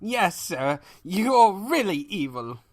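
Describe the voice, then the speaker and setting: Man as slow, servile pony chancellor. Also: high voice, no room sound, studio quality, pitched above the performer's own voice, heavily acted. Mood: deferential. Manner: deferential, servile, deadpan asides. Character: loyal, long-suffering male pony chancellor to evil king.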